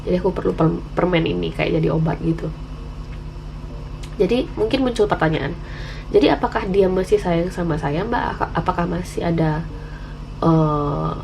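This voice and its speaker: A woman's voice: on the low side, moderate pace, vocal fry, pauses often, darkish tone, a little hiss or hum, poor audio. An Indonesian woman with the flu and a candy in her mouth, giving a casual, candid tarot reading to viewers and dropping in English phrases. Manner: chatty, candid, mixing in English slang phrases. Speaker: tarot reader, channel host.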